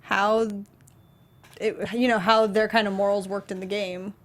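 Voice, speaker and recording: A woman co-hosting a talk show, chatting casually about a video game in a tentative, halting way. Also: medium pitch, level tone.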